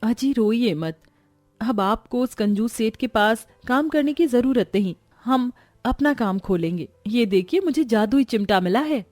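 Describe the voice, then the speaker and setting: Woman playing a gentle dutiful young daughter-in-law. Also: fairly high pitch, lively intonation, measured pace, no echo, soft background music. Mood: reassuring. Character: kind, dutiful, hard-working young daughter-in-law and mother. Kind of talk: animated cartoon story acting.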